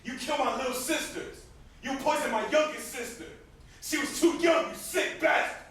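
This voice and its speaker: Male actor as agitated, enraged young avenger in a stage drama; forceful, animated delivery caught from far off in a boomy room. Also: normal speed, very echoey. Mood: furious. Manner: shouting, confrontational, agitated. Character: enraged young avenger confronting his family's killer. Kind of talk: amateur stage play acting. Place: indoor stage performance, recorded from a distance.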